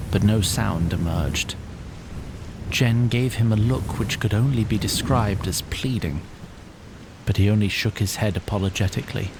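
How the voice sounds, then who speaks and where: A man's voice: fairly low pitch, background noise. A man narrating an audio drama in scripted, literary, slightly wry storybook style.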